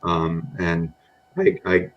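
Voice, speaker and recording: A man's voice: low voice, level tone, takes it slowly, highs cut off. A man speaking as a guest on a data podcast. He rambles casually, with a mixed American accent, and often says "you know" and "um". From grew up in Japan among Americans.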